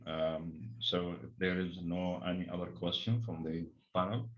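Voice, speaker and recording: A man's voice: deep voice, level tone, measured pace. A man moderating an online investor forum, giving brief polite handovers. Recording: dull lo-fi call audio, off mic.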